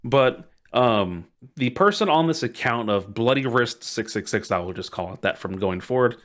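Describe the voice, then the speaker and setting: A man's voice: mid-range voice, expressive, moderate pace, fairly bright tone. A man co-hosting a podcast, casually recounting internet lore in an amused, hyperbolic way. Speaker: podcast co-host.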